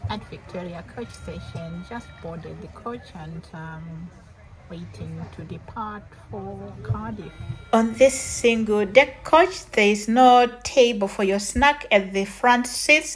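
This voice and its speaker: A middle-aged woman narrating a travel vlog in a measured, matter-of-fact way. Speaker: travel vlogger. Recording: noisy, with vehicle and station rumble.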